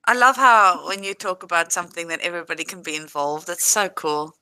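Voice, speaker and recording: Bright, crisp South African woman chatting. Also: on the low side, animated, brisk, no echo. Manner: chatty, laughs easily, talks straight to viewers. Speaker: livestream host. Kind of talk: casual livestream chat reading viewer comments.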